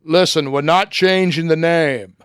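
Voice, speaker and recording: A man acting as an earnest, decent and patient deputy executive in a comic radio drama, polite and gently corrective. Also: fairly high pitch, measured pace, clean tone, no echo, clean studio sound. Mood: principled, firm.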